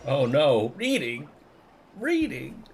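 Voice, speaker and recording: A woman's voice: very deep voice, huge pitch swings, slow, a little mellow, slight background noise. A woman streamer giving sarcastic, exclamatory reactions during gameplay. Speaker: game streamer.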